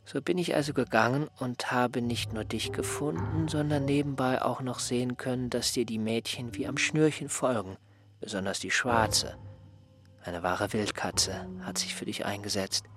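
A man acting an aggrieved, smug former servant in a radio drama, reproachful and pedantic, with a husky, breathy voice. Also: mid-range voice, moderate pace, over music, natural voice. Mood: smug, self-righteous. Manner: reproachful, self-righteous, pedantic.

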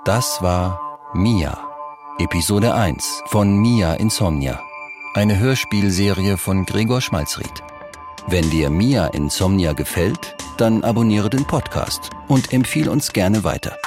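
A man reads scripted credits as an announcer. His voice is fairly low and his delivery very slow and measured, with music playing clearly behind him. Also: animated.

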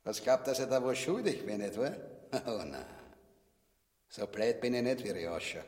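A man's voice: mid-range voice, lively intonation, moderate pace, darkish tone, lightly acted. Gloating Viennese tyrant in a cavernous echo, played by a man. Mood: gloating, mocking. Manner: mocking, rambling, colloquial. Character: gloating, vulgar male tyrant, a grotesque petty-bourgeois king. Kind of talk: grotesque radio comedy acting. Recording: echoey space with long reverb.

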